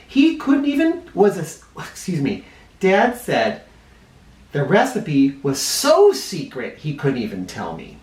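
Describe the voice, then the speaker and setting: A man reading a children's picture book aloud in an expressive way, with dramatic emphasis. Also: fairly high pitch, slow. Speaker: storybook reader for kids. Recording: roomy echo, distant mic.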